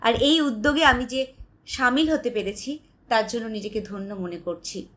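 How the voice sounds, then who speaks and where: A woman's voice: a little high, moderate pace, a bit bright, natural voice. A woman voicing a self-important society lady delivering a formal speech in a dramatized story, pompous and oratorical. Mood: pompous, solemn.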